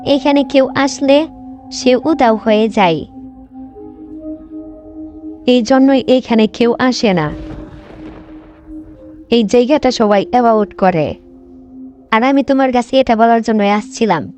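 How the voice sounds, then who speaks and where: A woman's voice: on the high side, slow pace, long pauses, darkish tone. A woman playing a mysterious, chatty young female stranger in a horror cartoon. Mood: ominous. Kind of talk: cartoon horror story dialogue. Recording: dull lo-fi sound, faint music.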